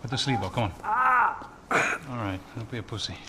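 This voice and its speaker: An actor playing an easygoing, streetwise bodyguard partner in a game cutscene, shrugging off danger. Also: male voice, on the low side, a little fast, background noise, close to an everyday voice. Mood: casual. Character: laid-back male bodyguard partner, streetwise. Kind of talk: video game cutscene acting. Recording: loud game score behind.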